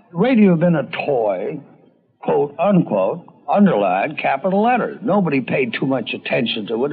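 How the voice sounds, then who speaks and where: An older man, a radio veteran, recollecting in an interview with measured, emphatic, formal wording. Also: mid-range voice, slightly rough. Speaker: radio veteran, former commercial manager. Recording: thin phone-like archive recording.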